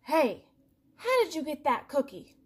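A woman voicing an indignant, dramatic male pigeon from a picture book, complaining in an exasperated, drawn-out, emphatic way. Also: high-pitched, wildly swooping pitch, a little hiss or hum, voice pushed up, full character voice. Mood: suspicious.

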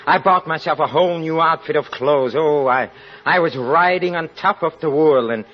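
An actor playing a proud, guilt-ridden, impoverished young writer in an old-time radio drama, speaking haltingly and tensely. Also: male voice, fairly high pitch, lightly acted. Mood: brooding, agitated. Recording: dull, lo-fi old radio recording.

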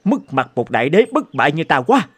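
An actor voicing a proud, stern conquering emperor in a cartoon, with an imperious, declamatory manner. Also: male voice, on the high side, big pitch swings, brisk, slightly rough, no echo. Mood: disgruntled. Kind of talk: dubbed cartoon dialogue.

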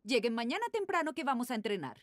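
A high, very animated young fitness coach for a cartoon. She is bossy and sure of herself, with a brisk, commanding manner. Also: soft background music. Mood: confident, matter-of-fact. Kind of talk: dubbed cartoon acting.

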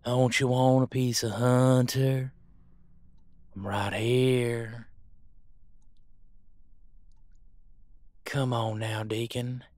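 A man's voice: mid-range voice, little pitch movement, slow, a little mellow, no room sound, close to an everyday voice. A man voicing a vengeful, taunting monster hunter with a menacing drawl in an audio roleplay.

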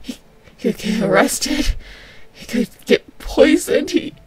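A woman voicing an anxious, wounded young man who pleads desperately in halting stammers, in an amateur comic dub. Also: sits high, lively intonation, crowd noise. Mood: panicked dread.

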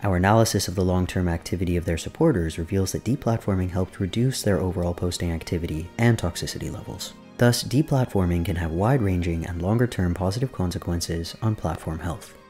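A man reading a research summary aloud in a flat, formal way, over faint background music. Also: low-pitched, brisk, hardly any pauses.

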